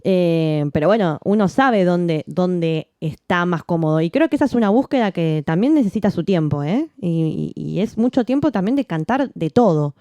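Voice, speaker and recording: A woman, a jazz singer co-hosting a podcast, talking in a relaxed, reflective way with frequent restarts. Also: lowish voice, normal speed, few pauses, no echo, clean studio sound. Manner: reflective, many restarts and "¿no?" tags. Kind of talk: casual chat.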